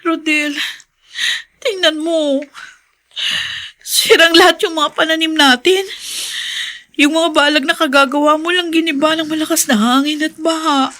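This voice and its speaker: Radio drama role: despairing rural mother, high-pitched, anxious, fretting. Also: steady tone, unhurried, pauses often, sharp tone. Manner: plaintive, fretful.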